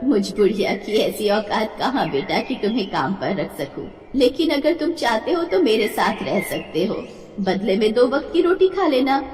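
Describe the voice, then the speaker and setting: A woman's voice: fairly high pitch, big pitch swings, normal speed, darkish tone, recorded from a distance, faint music behind. A woman voicing a poor, humble old village woman who speaks plainly and affectionately in a cartoon tale. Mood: humble, kindly. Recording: echoey reverberant voice, faint noise.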